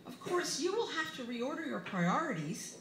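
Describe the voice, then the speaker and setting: A woman voicing an earnest, gently insistent mother who gives advice in a careful, soothing, preachy way during a live memoir reading. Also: high voice, very animated, normal speed, pitched above the performer's own voice, heavily acted. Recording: roomy, echoey bar with background noise.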